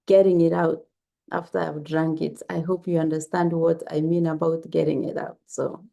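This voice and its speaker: A woman's voice: sits low, level tone, moderate pace, vocal fry. A woman from Kenya, giving earnest, repetitive health advice in a casual vlog. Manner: earnest, repetitive, gently instructive. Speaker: health vlogger.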